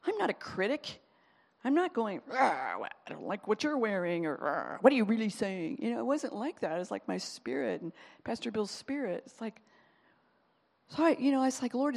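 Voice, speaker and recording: A woman pastor giving a sermon, talking in an animated, conversational way and acting out quotes as she goes. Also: fairly high pitch, creaky, big-room sound.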